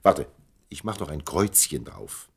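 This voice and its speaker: A tender, secretive lover in a radio drama. His very low, gravelly voice is husky and measured, with lively swings in intonation as he indulges his beloved. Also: exaggerated voice. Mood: secretive, deliberate. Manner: measured, indulgent, murmuring agreement. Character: indulgent, well-travelled male lover Charles, tender and secretive. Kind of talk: German radio play acting.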